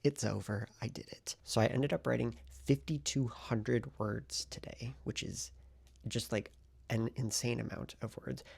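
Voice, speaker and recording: A man with a deeply gravelly, fry-heavy voice that sounds husky and rough at the edges. He talks to the camera in a casual diary-style vlog, chatty and excitable, often trailing off into soft, whispery asides. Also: unhurried. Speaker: writing vlogger.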